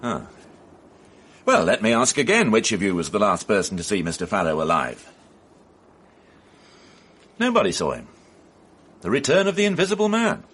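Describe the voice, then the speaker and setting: A man playing a dry, precise police inspector in a radio drama, with a British accent and a formal, measured, ironic way of questioning. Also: on the low side, wildly swooping pitch, a bit bright, slightly rough, exaggerated voice. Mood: patient, probing. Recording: faint background noise on archive radio broadcast.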